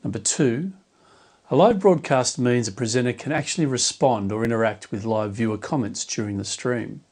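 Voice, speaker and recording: Measured man explaining to camera. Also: medium pitch, a little mellow. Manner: clear, measured, list-like delivery. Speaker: church media director. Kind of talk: scripted explainer video.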